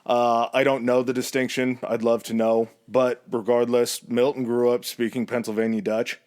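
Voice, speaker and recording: A man's voice: mid-range voice, level tone, normal speed, darkish tone. A man co-hosting a casual history podcast, telling the story in a chatty, rambling way and laughing easily.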